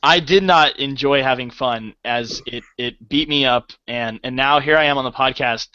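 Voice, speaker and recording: A man in his twenties, tired and raspy-voiced, chatting as a podcast guest. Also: medium pitch, moderate pace, bright, forceful tone, dry recording. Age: 20s. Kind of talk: casual chat. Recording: dry lo-fi podcast audio.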